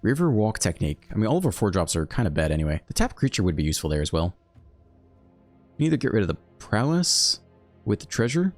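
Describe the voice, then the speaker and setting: Relaxed male game host, low voice with a lively swing, talking through each play over faint music. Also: quick pace, no room sound. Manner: thinks aloud, relaxed and chatty. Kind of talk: casual live gameplay commentary.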